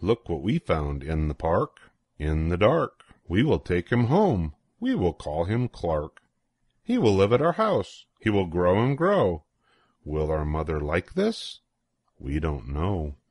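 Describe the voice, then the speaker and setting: Older male storybook reader, lowish voice, very animated, dry close sound. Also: slow pace, no echo. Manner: playful, sing-song rhythm with swooping emphasis. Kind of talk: expressive read-aloud of a children's rhyming picture book.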